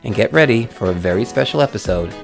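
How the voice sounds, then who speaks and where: A man's voice: medium pitch, expressive, quick pace, vocal fry, loud music behind the voice. A man hosting an interview show, introducing his guest and asking questions.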